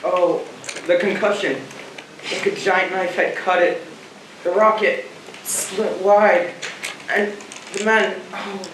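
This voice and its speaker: A man's voice: high-pitched, background noise. A man playing a wry, shaken spaceship captain in an amateur stage play, recorded from far off in an echoey theater. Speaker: actor in a school theater production. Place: theater stage, picked up from a distance.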